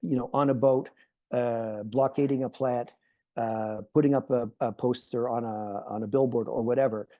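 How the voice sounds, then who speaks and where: A man's voice: medium pitch, steady tone, normal speed, warm tone, dry recording. Earnest middle-aged man on a muffled video call. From Canada. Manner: earnest, repeats phrases while building points. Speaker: panelist, peace activist. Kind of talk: online panel talk.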